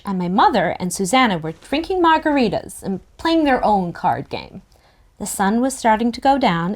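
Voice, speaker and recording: A woman reading a first-person novel aloud in an expressive, youthful storytelling manner. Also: a little high. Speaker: narrator in a table read.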